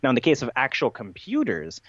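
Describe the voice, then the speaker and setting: A man's voice: medium pitch, huge pitch swings, brisk, a bit bright, no room sound. Emphatic male podcast guest, dull dry recording. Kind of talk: unscripted interview answers, explanatory monologue.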